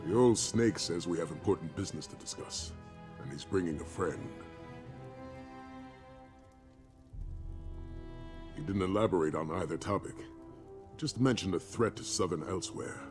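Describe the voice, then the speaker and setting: An actor playing a gruff, weary but loyal veteran warrior in a video game, talking in a measured, dry, formal way. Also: male voice, deep voice, lightly acted. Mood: guarded, uneasy. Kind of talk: video game character dialogue. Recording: music clearly under the voice.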